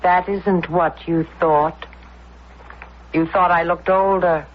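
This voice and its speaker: A woman playing a ruthless, seductive lover in a radio drama, deliberate, insistent and coolly commanding. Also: on the low side, steady tone. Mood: bitter, urgent. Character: seductive, ruthless, world-hungry scheming lover. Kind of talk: old-time radio drama acting. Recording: dull lo-fi radio recording, faint noise.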